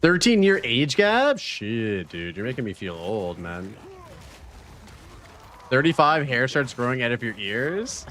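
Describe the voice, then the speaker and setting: A man in his thirties streaming a video game live, chatting casually with his viewers and reacting excitedly with slang and swearing. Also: mid-range voice, measured pace, slight background noise. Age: 30s. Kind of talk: casual live-stream chat while gaming.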